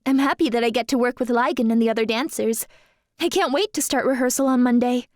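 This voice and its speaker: A woman acting an earnest, high-voiced young dancer. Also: quick pace, darkish tone, no echo, studio quality, lightly acted. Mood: happy. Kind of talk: audio drama acting.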